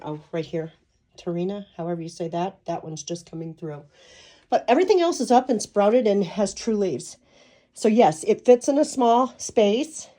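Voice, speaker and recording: A woman's voice: medium pitch, dark tone. A woman with a stuffed-up head cold, chatting enthusiastically in a casual home video from her small apartment living room. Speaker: vlogger. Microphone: handheld phone camera, off camera.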